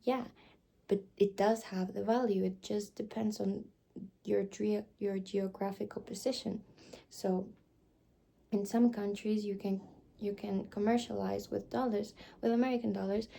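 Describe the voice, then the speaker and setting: Young female ASMR whisperer, gravelly and breathy, soft and halting. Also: mid-range voice, fairly even intonation, rough recording. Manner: soft whispering, hesitant with many fillers. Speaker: ASMR creator.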